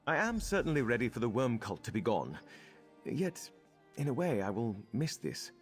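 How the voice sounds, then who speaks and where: Courteous, curious male game companion, actor performed, formal, expressive melody, faint music behind. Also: mid-range voice, moderate pace, natural voice. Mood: earnest, wistful. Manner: courteous, slightly hesitant, formal. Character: polite, wistful, scholarly male companion, earnest and curious. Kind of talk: fantasy video game dialogue.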